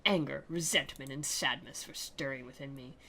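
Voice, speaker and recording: Woman playing a self-absorbed, brooding anime schoolboy, bright-voiced. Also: a bass voice, normal speed, a little hiss or hum, pitched below the performer's own voice, full character voice. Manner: mock-dramatic, sarcastic reading. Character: self-centered, indifferent teenage male anime protagonist, brooding. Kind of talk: dramatic visual novel reading.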